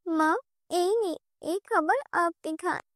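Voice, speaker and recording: A kind, humble young heroine from a cartoon storybook who speaks earnestly and softly. A woman voices her in her own very high voice. The recording is dry, with no room echo. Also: slow pace. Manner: earnest, soft-spoken.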